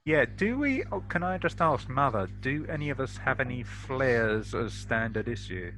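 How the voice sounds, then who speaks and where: A man's voice: mid-range voice, expressive, moderate pace, fairly bright tone, slight background noise. A man chatting casually as a tabletop game player, questioning and a little hesitant.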